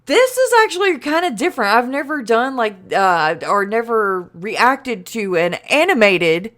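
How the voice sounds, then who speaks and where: A woman's voice: medium pitch, fairly bright tone. A young adult woman hosting a reaction video, chatting casually and exclaiming as she watches, with frequent fillers.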